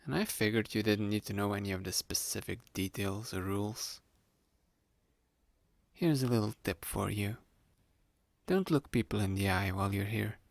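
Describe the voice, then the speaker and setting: Sly young male roommate, acted by a man: playful, manipulative hypnotist for ASMR roleplay, creaky voice, close dry mic, unhurried delivery. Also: lowish voice, no room sound. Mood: sly, teasing. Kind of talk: ASMR roleplay, addressed to the listener. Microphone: close studio-style microphone, dry.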